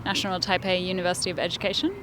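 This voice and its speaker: A woman's voice: on the low side, level tone, rapid-fire, fairly bright tone, a little hiss or hum. A young woman, a chatty art student answering a street interview. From Australia. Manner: chatty, uses like often. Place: outdoors on a city street.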